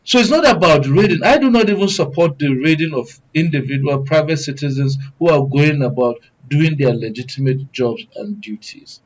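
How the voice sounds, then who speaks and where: A man with a Nigerian accent speaks as a serious panel commentator. His voice is a little higher than average, and he builds his points deliberately, with emphatic, oratorical phrasing. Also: lively intonation, rough recording. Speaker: guest commentator, good governance advocate.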